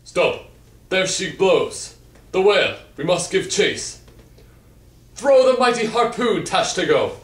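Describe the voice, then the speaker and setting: A man voicing a frantic whaling ship mate shouting melodramatic orders in a comic puppet show. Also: high-pitched, sing-song, slow, long pauses, pitched above the performer's own voice, exaggerated voice. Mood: urgent, commanding. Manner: exclamatory, melodramatic. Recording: roomy, distant microphone.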